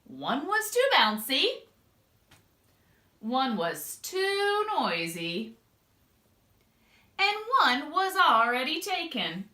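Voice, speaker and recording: A woman doing an expressive children's read-aloud, drawing out words and speaking straight to young listeners. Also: sits high. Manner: expressive, drawn-out emphasis, addresses young listeners directly. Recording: echoey room.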